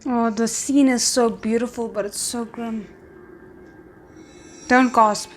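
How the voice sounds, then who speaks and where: A woman's voice: a little high, fairly even intonation, slow, faint music behind. A woman hosting a reaction video, commenting casually and thinking aloud in a hesitant way.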